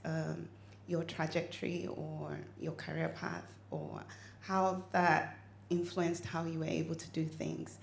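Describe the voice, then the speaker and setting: A woman in the audience asks a hesitant question, pausing often with "um". Her voice is lowish and gravelly, and it echoes through a hall with faint noise behind it. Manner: hesitant, with many "um"s and slow pauses. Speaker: audience member asking a question.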